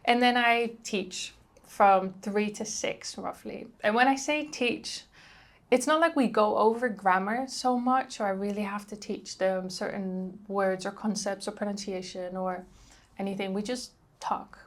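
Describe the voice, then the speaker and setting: A woman's voice: mid-range voice, a little slow. A young woman from the Netherlands with a Dutch accent, chatting casually to camera as a travel vlogger, with frequent ums and likes.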